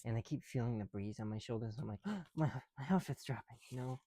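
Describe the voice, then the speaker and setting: A mellow, dark-toned voice rambles casually in an ASMR style. The talk is informal and a little sweary, as if thinking aloud during a hands-on task. The sound is close and very dry. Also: medium pitch, expressive, a little fast, no room sound. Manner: informal, muttering to self, sweary. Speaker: ASMR video creator. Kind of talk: casual rambling ASMR while building with bricks.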